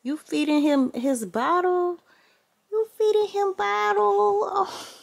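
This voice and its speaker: A woman's voice: very high voice, fairly even intonation, takes it slowly, vocal fry. A young woman with an Atlanta accent, chatting casually and playfully to her vlog camera and her daughter at home. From born in New Orleans, raised in Atlanta. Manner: playful, laughing, talks to viewers and her daughter. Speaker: vlogger mom. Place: bedroom at home. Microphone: handheld camera close up.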